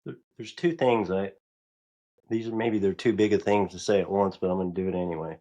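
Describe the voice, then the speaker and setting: A man's voice: sits low, fairly even intonation, brisk, warm tone. A man hosting a podcast interview, thinking aloud hesitantly with many restarts. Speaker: podcast host.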